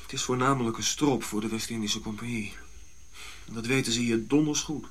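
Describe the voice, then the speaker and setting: An actor playing a brusque, scheming Dutch colonial general with a blunt, commanding manner in a radio drama. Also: male voice, mid-range voice, brisk, a bit bright, natural voice. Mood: scheming, pragmatic.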